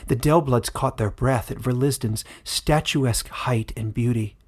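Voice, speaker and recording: A man's voice: mid-range voice, husky. Man narrating a dramatic fantasy tale. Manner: measured, literary storytelling. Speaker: author and narrator. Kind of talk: dramatic scripted story narration.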